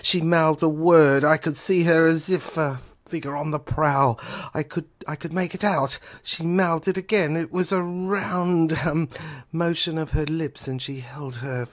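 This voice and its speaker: Female narrator for an audio drama, slow-paced, first-person, halting delivery with repeated words and broken phrases. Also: low-pitched.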